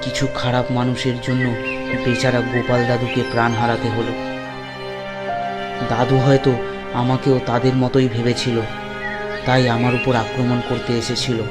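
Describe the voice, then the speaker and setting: A man's voice: mid-range voice, monotone, moderate pace, pauses often, loud music behind the voice. A man narrating a cartoon ghost story in the first person, with dramatic delivery.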